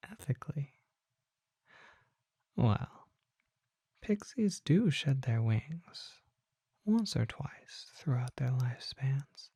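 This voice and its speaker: A performer plays a mysterious vampire apothecary in an ASMR roleplay, whispering with very breathy, husky softness. The delivery is slow, close and dry, with courteous, old-fashioned phrasing and some gravel at the edges of the words. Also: medium pitch, dry recording, exaggerated voice. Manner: formal, polite, unhurried, old-fashioned phrasing.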